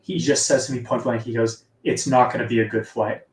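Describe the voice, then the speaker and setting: A man with a soft, sleepy-sounding voice, chatting casually as an author guest in a live interview. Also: mid-range voice, fairly even intonation, a little fast. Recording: echoey room, distant from mic.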